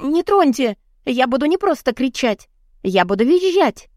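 A woman's voice: a little high, big pitch swings, normal speed, a little mellow, no room sound, clean studio sound. A woman voicing a flustered, indignant young woman in an audiobook, wordy and defiant, chattering in protest. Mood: panicked, threatening. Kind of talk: audiobook character dialogue.

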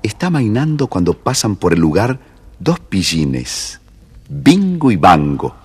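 Male storyteller, low husky voice, animated children's story narration. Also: unhurried.

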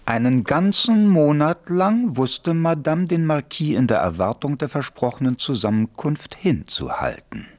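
An actor narrating a radio play as a wry, urbane storyteller with ironic, literary phrasing. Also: male voice, on the high side, very animated, measured pace, few pauses, a little mellow. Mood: wry, measured. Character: wry, urbane storyteller of an old French tale.

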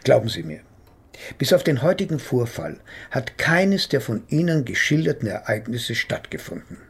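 A man's voice: medium pitch, very animated, normal speed, breathy edge, full character voice. An actor playing a self-assured, pompous male psychotherapist in a German radio play, speaking in elaborate, theatrical phrases. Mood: smug, lecturing. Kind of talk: German radio play, dialogue and first-person narration.

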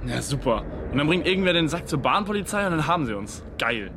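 A man's voice: a little high, big pitch swings, fast. An actor playing a practical, sardonic young male traveller, speaking dry colloquial lines in a radio drama. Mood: sardonic worry. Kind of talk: naturalistic radio drama acting. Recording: noisy urban transit background.

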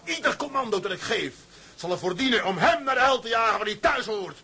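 A man playing a drunken, vengeful young ship's officer in a radio drama, loudly declaiming. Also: high-pitched, expressive, brisk, husky, full character voice. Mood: drunken fury. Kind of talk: Dutch radio drama acting.

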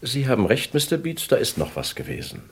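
An actor playing a cooperative kidnapping victim who hesitantly recalls details, in a vintage radio crime drama. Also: male voice, medium pitch, huge pitch swings, a little fast, husky. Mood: recalling.

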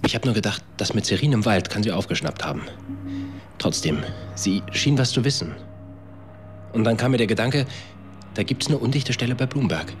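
A man's voice: lowish voice, lively intonation, normal speed, long pauses, lightly acted. An actor playing a brusque, cynical tech company man in a German radio drama, curt and sardonic. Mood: sardonic, defensive. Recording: music behind the voice.

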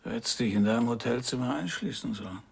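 Very raspy, world-weary musician. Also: male voice, medium pitch, fast. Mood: weary regret. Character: world-weary male jazz musician, gloomy cautioning friend. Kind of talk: radio drama acting.

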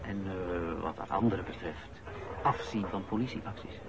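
An actor playing a calculating senior boss in a radio drama, speaking in a measured, formal, persuasive way. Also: male voice, deep voice. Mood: smooth, persuasive. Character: calculating male senior boss, smoothly bargaining over a cover-up. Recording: noisy lo-fi old radio play recording.

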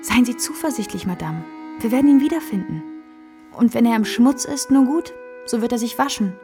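An actress playing a worldly, self-possessed kept woman of the theatre in a radio play, courteous and theatrical, with a husky, gravelly voice. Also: on the high side, expressive, a little fast, music in the background. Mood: confident, reassuring. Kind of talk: German radio play acting.

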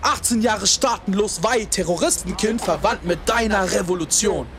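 A man's voice: high voice, moderate pace, over music. An actor playing a bitter young man from an immigrant neighbourhood in a radio drama monologue, speaking in rhythmic short phrases with insistent repetition. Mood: bitter, intense. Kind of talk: literary radio drama monologue, spoken-word delivery.

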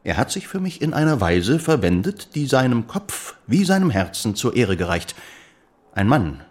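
An actor playing a pompous, debt-ridden middle-aged clerk in a German radio drama, florid in speech with hesitant pauses. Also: male voice, medium pitch, sing-song, a little mellow, husky. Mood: pompous, earnest.

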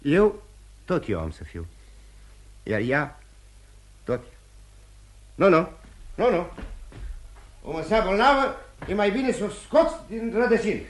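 Dissolute carousing husband, fairly high voice, wildly swinging intonation, halting theatrical delivery, old radio drama. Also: male voice, big pitch swings. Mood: bitter, self-loathing. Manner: theatrical, halting, repeats words emotionally. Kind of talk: mid-20th-century radio theatre acting.

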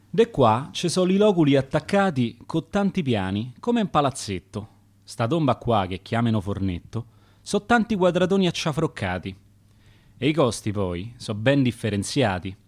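A man with a Roman accent narrating dialect sonnets as a gravedigger for a radio play, in a measured storytelling manner. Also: medium pitch, darkish tone. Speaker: narrator and author.

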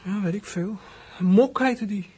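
A performer playing a small troubled runaway boy in a radio drama, husky and hesitant, speaking in plain colloquial phrases. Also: medium pitch, unhurried, warm tone. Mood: sulky chatty. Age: child character. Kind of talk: radio drama acting with whispered inner monologue.

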